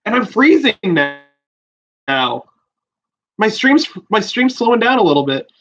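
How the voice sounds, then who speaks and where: A man in his twenties from the USA, hosting a livestream from a small room at home, exclaiming and swearing with halting restarts. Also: sits high, big pitch swings, a bit bright. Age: 20s. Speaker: podcast host livestreaming. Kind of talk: excited casual livestream chat. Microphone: laptop and handheld phone. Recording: dull lo-fi stream audio.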